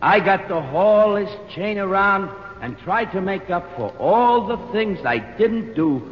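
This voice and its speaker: An actor playing the ghost of a dead business partner, slangy and matter-of-fact, with ghostly reverb in 1940s radio style. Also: male voice, high-pitched, animated, a little slow. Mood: earnest warning. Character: wisecracking, chain-laden male ghost of dead business partner. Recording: muffled old radio, heavy echo, music behind.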